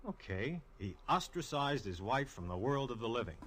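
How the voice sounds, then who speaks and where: An actor playing a blunt, slangy male homicide inspector in an old radio drama, gruff and casual. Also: mid-range voice, big pitch swings, moderate pace, a bit bright. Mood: breezy. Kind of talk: 1950s radio drama acting.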